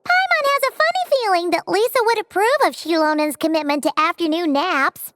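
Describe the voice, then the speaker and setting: A woman voicing a tiny, chirpy, frank fairy girl with a squeaky childlike voice in an English video game dub. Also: expressive, brisk, a bit bright, slight background noise. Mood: amazed, teasing. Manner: refers to self by name, exclaiming.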